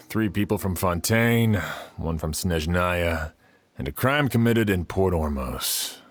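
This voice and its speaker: A man's voice: low-pitched, expressive, slow pace, full character voice. A man playing a tired, pragmatic town sheriff in a video game. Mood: weary. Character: weary, fair-minded town sheriff.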